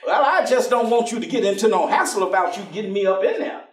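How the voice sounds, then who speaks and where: An actor in a staged play reading, playing a warm, stubborn, devout working-class man with a folksy, teasing manner. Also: sits high, expressive. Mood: teasing, insistent. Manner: folksy, teasing, with chuckles and drawn-out phrases. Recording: roomy echoing stage, distant microphone.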